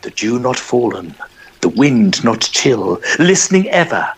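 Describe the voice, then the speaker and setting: Slow, expressive male poetry narrator. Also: medium pitch, slight background noise, poor audio. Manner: measured, expressive storytelling. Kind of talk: dramatic narration of a poem.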